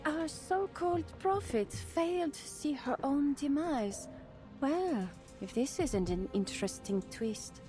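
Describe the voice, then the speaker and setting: A woman's voice: high voice, expressive, slow pace. An actress playing a coolly mocking, detached woman in a game, with a sardonic manner. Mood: coolly amused. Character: coolly mocking, unbothered female onlooker. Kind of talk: dramatic game voice acting. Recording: music behind the voice. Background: music.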